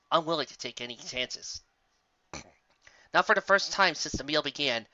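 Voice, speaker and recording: A narrator reads a story in a measured, deliberate way. The voice is bright and forceful, on a dull recording with no room sound. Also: medium pitch, no echo. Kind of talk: scripted fan fiction reading. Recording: dull lo-fi close dry recording.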